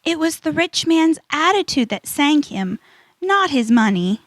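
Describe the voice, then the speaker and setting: A woman playing an earnest young adventurer girl in a children's audio drama, instructive and deliberate. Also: sits high, sing-song, dry recording.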